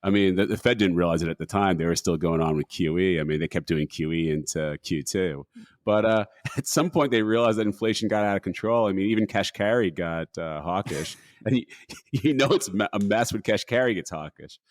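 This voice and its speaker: A man who is a hedge fund manager, guest on a podcast, talking in a relaxed, casual way with frequent fillers and easy laughs. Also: sits low, brisk, no echo, studio quality. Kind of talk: casual market chat.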